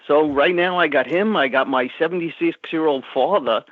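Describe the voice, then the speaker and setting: A man's voice: on the high side, normal speed, no echo. A middle-aged man with a Long Island accent, calling in to a show, easygoing and full of fillers. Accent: Long Island New York. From Long Island, New York. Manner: easygoing, many fillers, repeats words. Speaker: caller. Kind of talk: phone call-in chat. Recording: tinny phone line.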